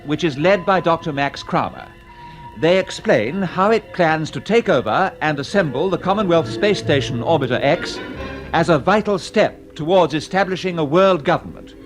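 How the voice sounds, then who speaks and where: A male actor as a 1950s radio serial announcer, recapping a space adventure with lively swings of pitch. His voice sits fairly high. Music plays behind him on an old radio recording. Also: lightly acted. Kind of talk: 1950s radio drama narration.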